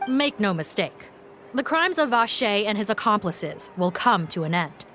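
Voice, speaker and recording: A woman's voice: medium pitch, sing-song, measured pace, fairly bright tone, slight background noise. A woman playing an adult police officer in a video game, speaking in a composed, formal, matter-of-fact and resolute manner. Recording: music playing behind the voice.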